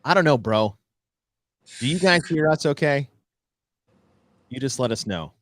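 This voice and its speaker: A man's voice: mid-range voice, animated, a little slow. A man co-hosting a casual podcast, teasing his partner and laughing often as he talks. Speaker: podcast co-host.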